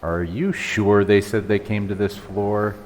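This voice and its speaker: A man playing a doubtful, easily awed adventurer in a fantasy audio drama, talking in a halting, casual way. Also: lowish voice, steady tone, lightly acted. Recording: long echoing hall, faint noise.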